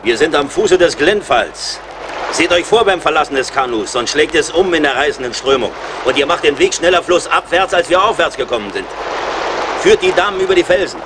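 A man's voice: a little high, animated, brisk, close to an everyday voice. A man playing a middle-aged, wry and watchful frontier scout in a German audio drama. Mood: urgent, commanding. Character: seasoned frontier scout, wry, plain-spoken, watchful, loyal. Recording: reverberant, noisy outdoor-like ambience.

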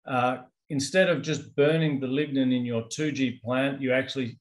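A man's voice: medium pitch, fairly even intonation, moderate pace. A man in his fifties with an Australian accent, presenting research in a webinar over a video call, in a loose conversational way with many ums and restarts. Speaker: company executive presenting research. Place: indoors, office with branded backdrop.

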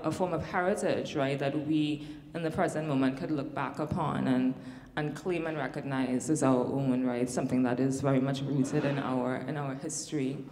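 A woman's voice: low voice, mellow, a little hiss or hum. A woman in her thirties with a Trinidadian accent, speaking as an academic panelist in a thoughtful way, with many fillers and restarts. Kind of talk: panel discussion. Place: large hall on stage. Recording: echoey hall with long reverb.

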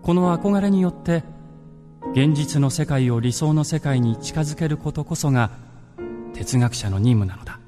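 An actor playing a solemn, impassioned ancient Greek philosopher, declaiming gravely in a radio drama monologue. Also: male voice, on the high side, expressive, normal speed, lightly acted. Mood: solemn, earnest. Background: music.

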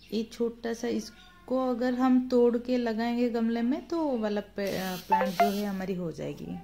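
Woman showing off her potted plants, mellow easygoing chat, phone mic outside. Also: medium pitch. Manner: chatty, unhurried narration while filming plants. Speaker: gardening vlogger. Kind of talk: casual home garden tour. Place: home garden and veranda outdoors.

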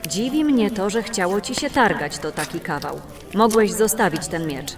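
A woman's voice: medium pitch, animated, moderate pace, distant mic, music in the background, close to an everyday voice. A woman playing a practical, level-headed fantasy adventurer in an audio drama, speaking in a matter-of-fact, composed way.

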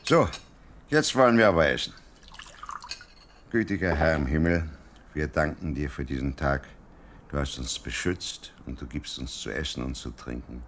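An actor playing a kindly, devout father with a benevolent, measured manner in an audio drama. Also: rumbling low, fairly bright tone, a little hiss or hum. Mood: devout, hearty. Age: middle-aged. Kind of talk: German audio drama acting.

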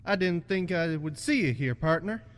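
Bullying toy cowboy, played by a man, highish voice, swinging pitch, taunting and folksy, for radio drama. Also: animated, normal speed, close to an everyday voice. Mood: mock friendly. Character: bullying, mocking toy cowboy with a swaggering drawl. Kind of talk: student radio play acting. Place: college radio studio, live broadcast.

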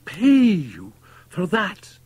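Man putting on a high, strained, frightened young student. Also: unhurried, a little mellow. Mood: shocked disbelief. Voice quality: hoarse, strained. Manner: anguished, protesting. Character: frightened, guilt-stricken young male medical student.

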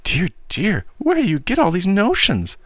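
Man putting on a high-pitched, fretful gentle aunt. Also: huge pitch swings, normal speed, no echo, full character voice. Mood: coaxing, fretful. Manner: soft, fussing, coaxing.

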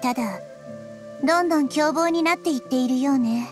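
A woman voicing a high-pitched, wise little girl deity. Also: natural voice. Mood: calm, explanatory. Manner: measured, explanatory, thoughtful. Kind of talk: Japanese anime game voice acting. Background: background music.

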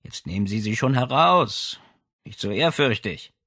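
A man's voice: medium pitch, expressive, unhurried, sharp tone, dry recording, clean studio sound, heavily acted. A man voicing a haughty, imperious lady with a condescending, commanding way of speaking in an audiobook. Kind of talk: audiobook character dialogue.

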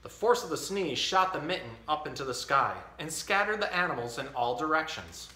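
Expressive man reading in an echoey room. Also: a little high, moderate pace. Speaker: storytime host. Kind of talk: reading a children's picture book aloud. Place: indoor tiled-floor room. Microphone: camera a few feet away.

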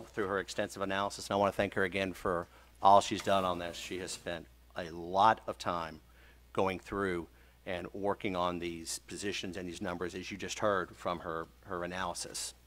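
The low-pitched man you would hear explaining things to the council from the staff seat at a town meeting. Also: flat delivery, normal speed, a bit bright. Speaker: city manager. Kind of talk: formal public meeting remarks. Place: council chamber.

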